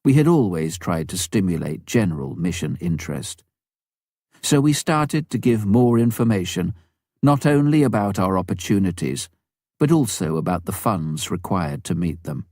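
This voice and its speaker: Man narrating a memoir with lively intonation. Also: deep voice, moderate pace, no room sound, clean studio sound. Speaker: audiobook reader.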